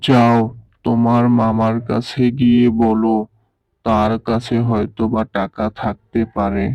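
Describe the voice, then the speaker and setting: A man voicing an old grandfather and ice cream seller in a cartoon, soft and doting. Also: mid-range voice, completely flat delivery, measured pace, no room sound, lo-fi sound, natural voice. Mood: weary. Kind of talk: cartoon voice acting.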